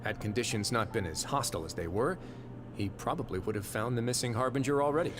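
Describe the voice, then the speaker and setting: An actor playing a measured, wry and authoritative prison warden in a video game. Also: male voice, medium pitch, a little hiss or hum, lightly acted. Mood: calm, assured. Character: composed, shrewd adult male prison fortress warden. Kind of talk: video game dialogue acting.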